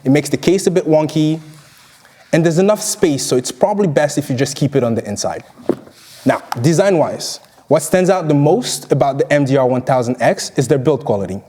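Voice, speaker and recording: A man's voice: fairly high pitch, lively intonation, brisk, dark tone. A man narrating a scripted product review as its presenter.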